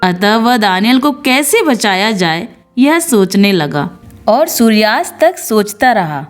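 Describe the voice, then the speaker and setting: A woman's voice: mid-range voice, big pitch swings, slow pace. A woman narrating scripted Bible stories in an earnest devotional storytelling style. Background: background music.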